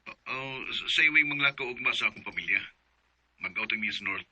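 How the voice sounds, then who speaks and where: An actor playing an evasive, irritable young boyfriend, curt and defensive, with a Cebuano Filipino accent, in a radio drama. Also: male voice, mid-range voice, expressive, brisk, bright, forceful tone, dry recording. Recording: dull, band-limited radio drama audio.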